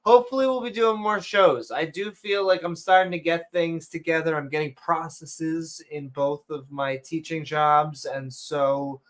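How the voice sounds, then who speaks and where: A man co-hosting a casual late-night podcast, rambling and jumping between topics, his voice going hoarse. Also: on the high side, steady tone, a little slow, hardly any pauses. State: losing his voice. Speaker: podcast co-host.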